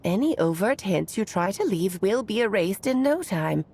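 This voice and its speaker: A woman voicing a playful, caring magical friend who praises warmly and warns gravely. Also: mid-range voice, normal speed, slight background noise, natural voice. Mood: earnest. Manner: expressive, swinging between bright praise and grave caution. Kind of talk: video game voice acting.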